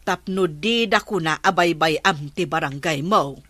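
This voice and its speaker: A woman's voice: on the low side, unhurried, pushed and bright, dry recording. A woman playing an inquisitive villager in a comedy radio drama, with singsong questioning.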